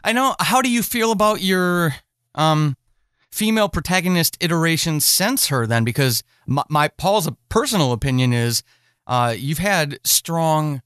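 A male podcast host with a fairly high, very smooth voice in a dry recording. He chats casually with his guest and listeners. His delivery is earnest and encouraging. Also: expressive, measured pace, a bit bright, no room sound. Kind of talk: casual conversational interview.

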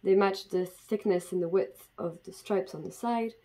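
A woman's voice: mid-range voice, normal speed, warm tone. A woman in her twenties from Belgium, chatting casually to camera at home as a knitting podcast host, with frequent fillers and restarts. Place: bedroom at home, indoors. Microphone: camera in front at desk distance.

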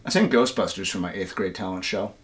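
A man's voice: low voice, fast. A man in his thirties hosting behind-the-scenes banter in a living room, playful and jokey with mock-dramatic bits. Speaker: host. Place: living room with couch and guitars.

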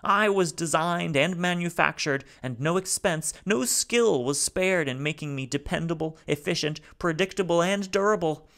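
Little old alien robot: high, halting, mournful. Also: male voice, gravelly, a bit bright. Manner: halting, lamenting.

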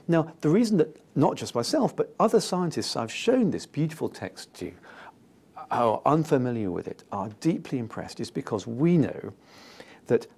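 A British man in his fifties explaining as an interview guest, enthusiastic and emphatic, with halting phrasing. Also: medium pitch, gravelly, a little mellow, breathy edge. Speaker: interview guest, scientist and author. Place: indoor TV-style studio.

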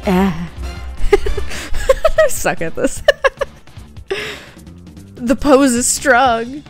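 A young woman commenting casually and excitedly as she plays a game, often laughing. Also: a little high, very slow. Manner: giggly, excitable. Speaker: gaming youtuber. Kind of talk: casual let's play commentary. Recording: game music faint underneath.

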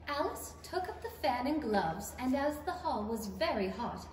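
High, lively young woman narrator. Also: moderate pace. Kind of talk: expressive storybook narration for a 1930s-style radio play. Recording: distant, echoey stage with noise.

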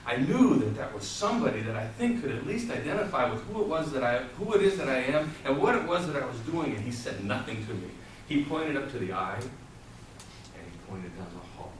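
A middle-aged man giving a theatrical tall-tale speech to a live audience, dramatic and deliberate, with pauses and repetition. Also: mid-range voice. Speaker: storytelling contestant at a public speaking club. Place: small meeting room with whiteboard and audience, indoors. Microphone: far camera microphone. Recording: roomy echo, distant mic, clearly noisy.

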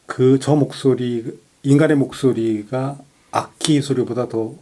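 A man's voice: medium pitch, steady tone, measured pace, dark tone. A middle-aged man in casual conversation as a co-host of a music talk show, speaking effusively and repeating himself for emphasis. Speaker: podcast co-host.

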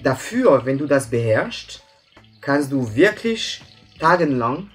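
A man from France talks through a technical topic in accented German, pausing on filler sounds as he searches for words. His voice has a husky edge at a middling pitch, and soft music plays faintly behind the conversation. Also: very animated. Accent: French. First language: French. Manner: hesitant, many "äh" fillers, explaining step by step. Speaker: guest expert. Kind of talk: explanatory interview chat. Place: bright office room with plants.

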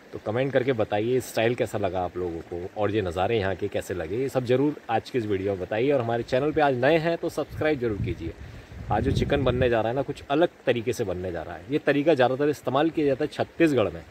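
A man's voice: mid-range voice, a little mellow. A man in his thirties from India, chatting casually to viewers as he cooks outdoors by a river, filmed on a phone held at arm's length. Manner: chatty, addresses viewers as friends. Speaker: vlogger. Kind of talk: casual outdoor cooking vlog. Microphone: phone camera at arm's length. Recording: noisy outdoor phone recording.

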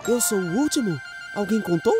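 A woman's voice: medium pitch, lively intonation, fairly quick, close to an everyday voice. A woman voicing a cheerful, earnest young male train engine in a children's cartoon, with a Brazilian accent. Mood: excited, cheerful. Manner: wide-eyed, earnest, exclamatory. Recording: background music under the voice.

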